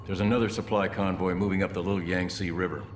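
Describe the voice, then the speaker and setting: An actor playing a hard, suspicious army colonel in a game briefing, speaking in curt, authoritative orders. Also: male voice, low voice, steady tone, quick pace, echoey room, slight background noise, natural voice. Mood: stern, commanding. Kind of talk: game mission briefing acting.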